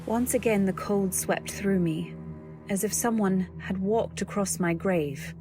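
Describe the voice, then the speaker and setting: A woman narrating and acting a young wife in an old-time radio drama, earnest, anxious, and gentle. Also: mid-range voice, a little slow, over music. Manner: earnest first-person storytelling, anxious and gentle. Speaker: narrator and actor.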